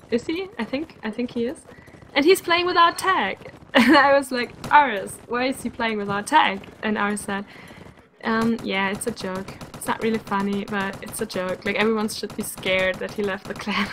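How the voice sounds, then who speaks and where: A woman's voice: fairly high pitch, a bit bright, slight background noise, poor audio. Chatty woman commentator, slightly under the weather. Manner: chatty, laughs often, uses "like" a lot. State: sick, slightly rough voice. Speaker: esports commentator. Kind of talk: casual live game commentary.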